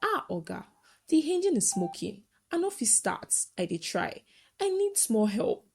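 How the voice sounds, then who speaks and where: A woman's voice: mid-range voice, big pitch swings, unhurried, creaky, darkish tone, slightly rough, exaggerated voice. A woman with a Nigerian accent voicing a friendly, grateful male landlord in a folktale, coaxing in short phrases. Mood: pleading.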